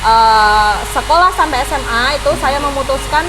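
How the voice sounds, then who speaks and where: A woman's voice: high voice, moderate pace, background noise. A woman, a language teacher explaining things patiently in a podcast interview, with frequent "eh" and "nah". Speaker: Javanese language teacher, guest.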